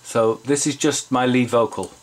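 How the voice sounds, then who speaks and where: A man's voice: mid-range voice, steady tone, normal speed. A man giving a casual, rambling tutorial from his home studio, with frequent fillers and restarts. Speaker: home recording hobbyist.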